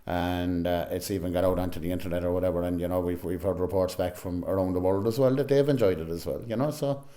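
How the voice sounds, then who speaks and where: Irish guy in a casual interview, lowish soft dark voice, chatty, lots of you knows. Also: fairly even intonation, quick pace. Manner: chatty, frequent "you know". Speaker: amateur actor, interviewee.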